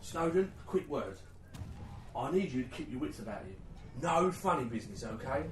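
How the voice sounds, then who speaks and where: A man's voice: mid-range voice, big pitch swings, moderate pace, far from the mic, natural voice. A man playing a dutiful, careworn British army sergeant in a war radio play, firm, earnest and paternal. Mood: quiet, reassuring. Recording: roomy, off-mic, faint background noise.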